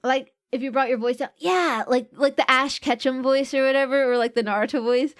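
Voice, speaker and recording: A chatty woman talking casually on a stream, with a somewhat high voice. She rambles with many fillers and restarts. Her pitch rises and falls freely with what she is feeling. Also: fairly quick. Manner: pitch swings widely with mood.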